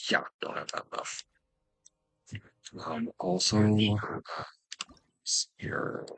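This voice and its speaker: Male gaming host, heavily husky with lots of fry, casual and halting as he thinks aloud. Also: deep voice, a bit bright, dry recording, rough recording. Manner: halting, muttering to himself.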